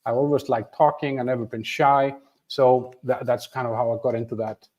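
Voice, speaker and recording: A man's voice: mid-range voice, steady tone, normal speed, a little mellow, dull audio. A man in his fifties from Iceland hosting a livestream from home, talking casually to viewers, chatty and self-deprecating with many restarts. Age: 50s. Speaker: channel host. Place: home room with a flag behind him.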